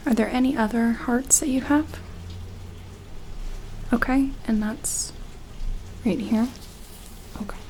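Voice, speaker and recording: A woman doing a soft-spoken ASMR roleplay as a gentle, curious sci-fi medic, soothing and close to the microphone, leaving long pauses. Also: fairly high pitch, a little hiss or hum. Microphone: close-up sensitive ASMR microphone.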